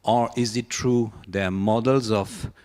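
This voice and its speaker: A man in the audience with a low voice, putting a question to the panel and pausing with "uh" between phrases. His voice echoes around a large conference hall. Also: steady tone, a little mellow. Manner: hesitant, with "uh" pauses. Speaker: audience member. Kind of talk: asking a question.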